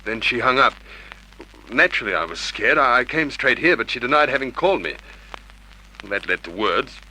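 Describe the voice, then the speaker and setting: Tense, sharp-voiced upset husband. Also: male voice, medium pitch, very animated, moderate pace. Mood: defensive, upset. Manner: defensive, agitated. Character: hot-tempered, upset husband under suspicion. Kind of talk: Golden Age radio drama acting. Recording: noisy old radio drama recording.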